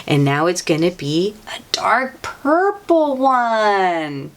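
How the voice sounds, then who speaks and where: A woman hosts a read-aloud for small children. Her delivery is very slow, with a wide, lively swing in pitch. She speaks in a sing-song, encouraging way, as if talking straight to young listeners. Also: slight background noise.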